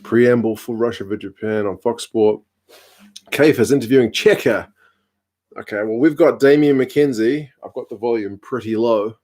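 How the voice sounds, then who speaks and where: A man, hosting a casual live stream from home, reading out viewer comments with a relaxed, chatty manner and dry humour. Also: medium pitch, moderate pace. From New Zealand. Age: 30s.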